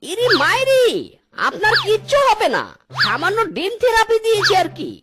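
A woman's voice: very high-pitched, very animated, normal speed. A woman voicing a cocky, bungling clinic assistant in a cartoon, boastful and cheerfully careless. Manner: boastful, exaggerated. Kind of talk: cartoon comedy dubbing. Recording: loud music over the voice.

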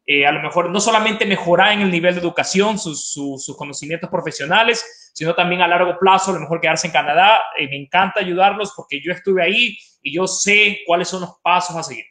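Man, Ecuadorian accent, high-pitched, enthusiastic college rep, roomy video-call echo. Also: fairly quick, few pauses, pushed and bright. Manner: enthusiastic, explanatory, repeats words for emphasis. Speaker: college representative, webinar guest. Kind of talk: informal promotional interview talk. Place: home living room with open kitchen, video call.

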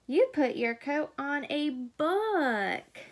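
A helpful young dinosaur friend who patiently corrects mix-ups, as a woman reading a picture book aloud puts him on. The voice is fairly high and unhurried. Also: sing-song, a little hiss or hum, heavily acted. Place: small indoor room at home.

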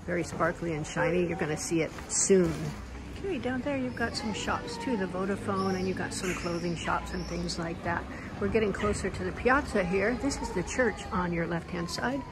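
Woman, walking-tour vlogger, chatty, enthusiastic, outdoor phone recording, loud traffic noise. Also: on the low side. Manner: chatty and enthusiastic, pointing things out. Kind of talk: casual walking-tour narration. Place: outdoors on town streets and a piazza.

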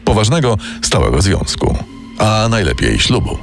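A man's voice: lowish voice, very animated, moderate pace, creaky. A man giving scripted true-crime narration as a dramatic storyteller. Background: music bed.

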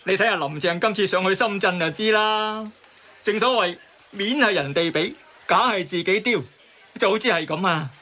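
An actor in a radio drama playing a talkative, pompous taxi driver with a Hong Kong Cantonese accent, emphatically reeling off sayings. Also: male voice, high-pitched, normal speed, pushed and bright. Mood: emphatic. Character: talkative taxi driver who spouts proverbs.

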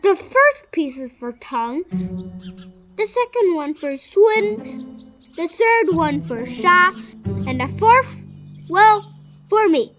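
A whiny cartoon pig, greedy and lazy. The voice is extremely high and childlike, drawn out slowly in exaggerated children's story style, over clearly audible music. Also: sing-song, heavily acted. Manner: slow, exaggerated, childlike delivery.

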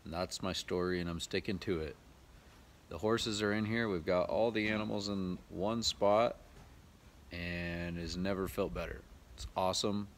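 A man's voice: sits low, steady tone, moderate pace, slight background noise. An American man in his thirties talking casually to viewers in a laid-back, plain-spoken way, like a DIY vlogger. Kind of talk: casual vlog narration to viewers.

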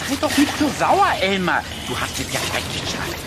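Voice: mid-range voice, wildly swooping pitch, normal speed, loud music behind the voice. An actor voicing a bossy, wisecracking male cartoon rabbit in a children's audio play, speaking in a teasing, theatrical way. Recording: noisy old cassette recording.

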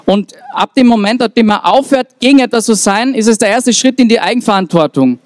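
Young man, high voice, earnest and halting, handheld mic outdoors, dry sound. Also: lively intonation, no room sound. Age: young adult. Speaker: speaker at a rally. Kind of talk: public speech. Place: town square outdoors.

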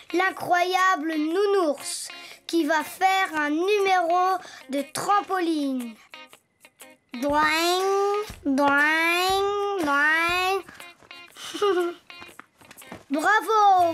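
A woman's voice: very high voice, drawn-out words, a bit bright, heavily acted. A woman voicing a playful, cheeky little boy donkey in a children's cartoon, with a childlike, boastful and theatrical way of talking. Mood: excited showman.

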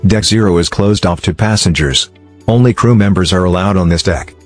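A man reading a scripted explainer narration in a measured, formal way. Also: low voice. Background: background music.

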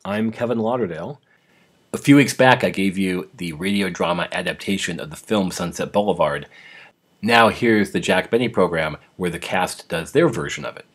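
A man with a husky voice, introducing old radio programs as the host. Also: sits low, normal speed.

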